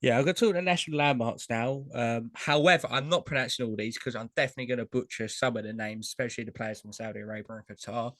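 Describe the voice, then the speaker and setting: A man's voice: medium pitch, fast, few pauses, a bit bright, no echo. A man co-hosting a podcast, chatting casually and running through long lists of names with lots of fillers. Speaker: podcast co-host. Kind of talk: casual chat about trading cards.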